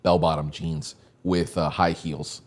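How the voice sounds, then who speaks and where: A man's voice: a bass voice, level tone, measured pace. A man in his thirties telling stories in casual podcast chat, laid-back and slangy, laughing often. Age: 30s. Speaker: podcast co-host.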